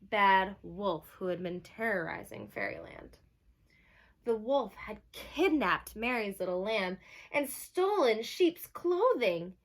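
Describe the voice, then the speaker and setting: A woman teacher reading a storybook aloud to young children, pausing to explain simply and ask them questions. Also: medium pitch. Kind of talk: children's storybook read-aloud with asides to listeners.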